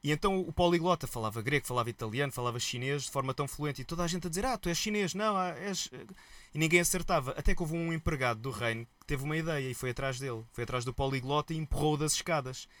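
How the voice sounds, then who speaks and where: A man with a European Portuguese accent, a musician guest telling stories in a relaxed, colloquial interview chat. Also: a little high, quick pace, no echo. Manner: lively storyteller, relaxed and colloquial.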